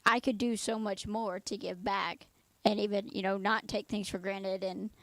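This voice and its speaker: A woman's voice: mid-range voice, steady tone, normal speed, a bit bright, dry recording. Chatty young woman interviewer, dry sound. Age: 20s. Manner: friendly, chatty, rambling questions. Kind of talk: casual interview.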